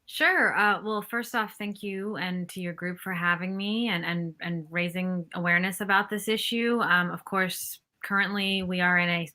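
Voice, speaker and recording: Woman, 30s, from the West Bank; lowish bright tone, thoughtful expert guest. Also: steady tone. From West Bank, Palestine. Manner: thoughtful, measured, many fillers. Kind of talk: interview answer.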